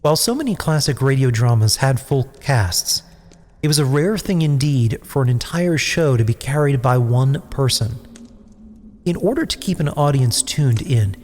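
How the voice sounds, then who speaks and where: A man's voice: medium pitch, darkish tone. A man hosting a podcast, giving scripted, dramatic storyteller narration to introduce classic radio plays. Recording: long hall reverb, faint noise.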